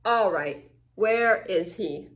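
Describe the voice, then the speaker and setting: A woman voicing a fed-up, indignant little girl in a storybook, complaining loudly and with exasperation. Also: mid-range voice, expressive, slow pace. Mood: impatient.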